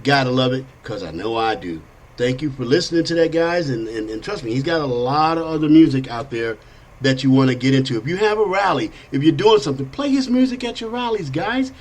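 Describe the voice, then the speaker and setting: A middle-aged man hosting a casual political talk show, rambling and animated with frequent restarts. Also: medium pitch, fairly quick. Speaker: podcast host.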